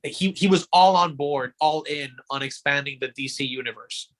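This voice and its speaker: A man's voice: a little high, normal speed, low-quality recording. A man co-hosting a podcast in casual discussion, speaking emphatically and often repeating his words and phrases. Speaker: podcast co-host. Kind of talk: casual pop culture discussion.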